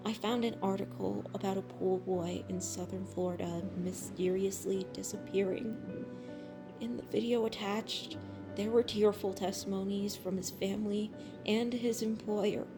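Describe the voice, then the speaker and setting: A woman reading as the shaken narrator of a horror tale, grave and measured. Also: mid-range voice, close to an everyday voice. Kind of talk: dramatic horror story reading.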